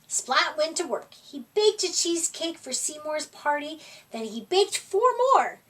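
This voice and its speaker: A woman narrating a picture book for children in a gentle, expressive read-aloud manner. Her voice is high and very lively, and she takes her time. She sounds a little distant from the mic. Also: unhurried, slight background noise. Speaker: storytime reader. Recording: voice from far off the mic.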